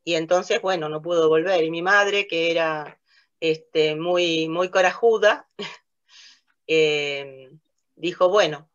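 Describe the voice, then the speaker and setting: A woman's voice: fairly low pitch, takes it slowly, dull audio. Hesitant older woman on a video call. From Italy. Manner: hesitant, many fillers and restarts, laughs often. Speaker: interview guest, theatre narrator and director. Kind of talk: casual interview, recounting memories. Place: small room with a plain light wall. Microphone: video call, webcam facing her.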